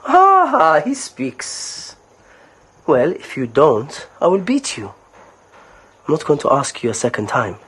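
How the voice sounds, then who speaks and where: An actor playing a callous slave merchant in an audio drama, coaxing and polite with menace underneath. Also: male voice, a little high, wildly swooping pitch, takes it slowly, pauses often, fairly bright tone, husky, exaggerated voice. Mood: genial, menacing. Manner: casual, coaxing politeness laced with threat.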